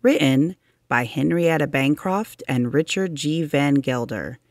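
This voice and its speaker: Slow, deliberate children's book narrator. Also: medium pitch, dry recording, clean studio sound. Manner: deliberate, expressive, with drawn-out emphasis. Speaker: narrator reading a children's science book aloud. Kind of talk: read-aloud storybook narration for children.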